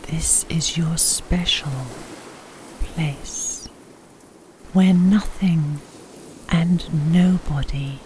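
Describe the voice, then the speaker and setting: A woman leads a guided relaxation in a hushed, breathy voice pitched a little low. She speaks extremely slowly, in short scripted phrases with long pauses. A faint ambient noise sits behind her. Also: fairly even intonation. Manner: soft, whispery, breathy delivery with long pauses. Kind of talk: slow guided sleep meditation, scripted narration.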